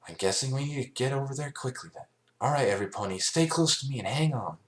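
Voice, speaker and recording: A studious young female unicorn leader in a cartoon fan story, acted by a man. The voice sits raised above natural pitch. It asks questions and gives orders decisively. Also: lively intonation, fast talker, natural voice. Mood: inquisitive, decisive.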